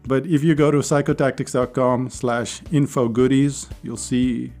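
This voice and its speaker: A man hosting a casual solo podcast, chatty and persuasive, with his voice hoarse and going. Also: medium pitch, level tone, moderate pace, a little mellow, faint music behind. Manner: chatty, persuasive, with small laughs. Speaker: podcast host.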